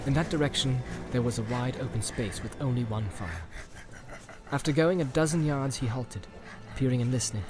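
A man's voice: medium pitch, moderate pace. A man reading a fantasy audiobook as narrator, in a dramatic storytelling style. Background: music and sound effects.

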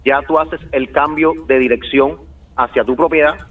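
A man calmly explaining procedures on a call, easygoing, laughing often and repeating himself. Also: a little high, moderate pace, distant mic, narrow, tinny audio. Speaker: phone-in guest. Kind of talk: casual explanatory chat.

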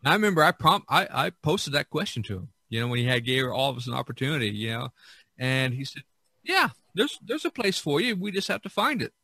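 Male podcast host, middle-aged, bright and dry-miked, conversational, loose and repetitive storytelling. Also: on the high side, brisk, vocal fry, husky, no echo. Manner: rambling, repeats himself, animated when quoting others. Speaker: podcast host, visual artist.

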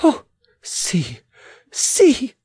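A man's voice: sits high, very animated, unhurried, a little mellow, breathy edge, no room sound, clean studio sound, pitched above the performer's own voice, exaggerated voice. A man voicing a proud, imperious and agitated queen in a dramatic audiobook, haughty and exclamatory. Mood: excited. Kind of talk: dramatic audiobook character reading.

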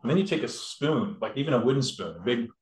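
A man's voice: mid-range voice, lively intonation, quick pace. Rambling man cooking in an echoey kitchen. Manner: rambling, explains step by step with fillers. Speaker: cook demonstrating a recipe. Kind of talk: casual cooking demo. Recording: echoey room.

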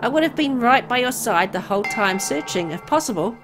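A woman's voice: a little high, expressive, a little fast, over music, pitched above the performer's own voice, lightly acted. A woman voicing a devoted, eager-to-please young boy in a visual novel, earnest and fawning. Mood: eager, adoring. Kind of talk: visual novel dialogue read aloud.

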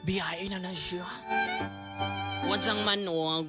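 A man's voice: high-pitched, steady tone, slow pace. A man voicing a sly best friend in a comic live drama, stammering excuses in a hesitant, wheedling way. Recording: loud music under the voice.

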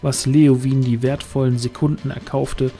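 Mellow male fantasy narrator. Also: mid-range voice, level tone, normal speed, faint music behind. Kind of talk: scripted fantasy audio drama narration.

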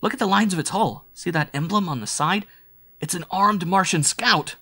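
A man voicing a level-headed, quick-thinking crewman who reasons and explains, in a science fiction story. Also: high-pitched, sharp tone, pitched above the performer's own voice, heavily acted. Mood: urgent. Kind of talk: science fiction audiobook character.

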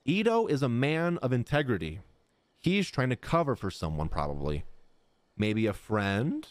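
A man's voice: mid-range voice, moderate pace, no echo. A young man giving casual, slangy live reactions to a game as a streamer, close on a desk mic. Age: young adult. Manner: expressive, slangy. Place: indoor gaming room. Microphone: close desk microphone. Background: game music.

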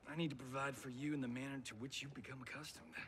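Guy doing a middle-aged movie star dad in a video game, talks fast, noisy ambience behind. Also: medium pitch, steady tone, close to an everyday voice. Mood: defensive. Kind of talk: video game cutscene acting.

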